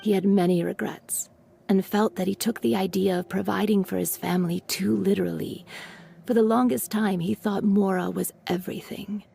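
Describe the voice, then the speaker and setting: A woman voicing a gentle, weary widowed mother in a video game, soft and measured, consoling and reflective. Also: mid-range voice, fairly even intonation, creaky, slight background noise. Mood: regretful, somber.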